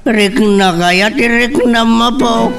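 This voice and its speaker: A man's voice: extremely high pitch, steady tone, takes it slowly, creaky, over music, exaggerated voice. A man playing a concerned father giving advice in a radio drama, recorded a little far off. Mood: concerned, coaxing.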